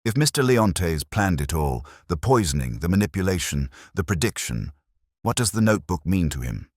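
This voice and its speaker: A man voicing a grave woman witness in an audiobook mystery, with measured, insistent questioning. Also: deep voice, big pitch swings, breathy edge, dry recording, studio quality, pitched above the performer's own voice, heavily acted. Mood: puzzled, insistent. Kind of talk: dramatized audiobook character dialogue.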